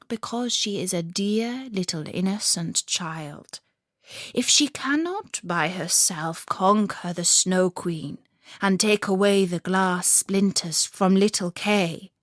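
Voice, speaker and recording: A woman's voice: medium pitch, expressive, slow, no room sound, studio quality. A woman storyteller voicing a wise, frank northern woman from a fairy tale, speaking emphatically and earnestly. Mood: earnest, instructing.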